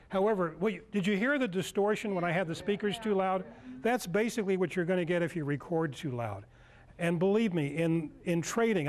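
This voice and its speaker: Older american guy in his 60s showing a group how stuff works, kinda high voice, thinks out loud, stops and starts. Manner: halting, thinking aloud while demonstrating. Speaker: presenter at a club meeting. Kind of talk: informal demonstration talk. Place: meeting room, indoors.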